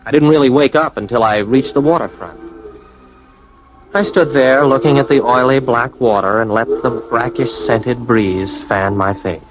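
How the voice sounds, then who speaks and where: A man's voice: mid-range voice, moderate pace, over music, lightly acted, low-quality recording. An actor playing an earnest young advertising man in a radio drama, with an American accent, sometimes narrating his own story. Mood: brooding, dramatic. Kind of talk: old-time radio drama acting, first-person narration.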